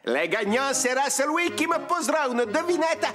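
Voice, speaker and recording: A high-voiced, jovial cartoon king, doting and theatrical. His pitch leaps around exuberantly, over music. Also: animated, normal speed. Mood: delighted, doting. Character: jovial doting king and father who loves riddles. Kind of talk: animated children's cartoon acting.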